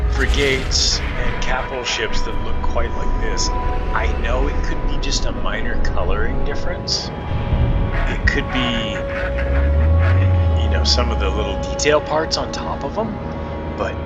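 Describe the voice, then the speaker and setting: Male gamer for casual playthrough commentary, mid-pitched and very expressive, rambling as he thinks aloud. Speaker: gaming video host. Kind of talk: casual let's play commentary. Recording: music behind the voice. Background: game music.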